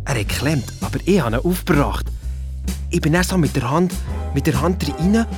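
Excitable, boastful boy sleuth, Swiss German accent, breezy and blunt, children's radio play with clear music bed. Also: fairly high pitch, normal speed. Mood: eager storytelling. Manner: breezy colloquial storytelling, blunt and bickering. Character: excitable, boastful young boy detective telling his adventure. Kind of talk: children's audio drama narration.

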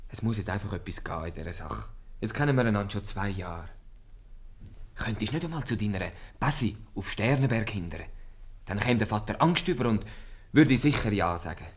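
An actor with a Swiss German accent playing an eager, impatient young suitor in a 1950s radio comedy. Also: male voice, fairly low pitch, natural voice.

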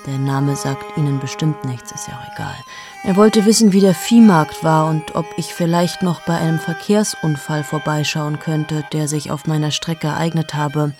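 A woman's voice: low voice, normal speed, over music. An actress in her late thirties playing a cold, amoral journalist in a radio play monologue, speaking intimately to the listener and often dropping into whispers. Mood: confiding. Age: late 30s. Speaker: radio drama lead.

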